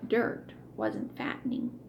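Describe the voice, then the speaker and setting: A woman reading a children's story aloud in an expressive, emphatic, deliberate way. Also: a little hiss or hum. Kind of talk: expressive read-aloud narration with acted dialogue.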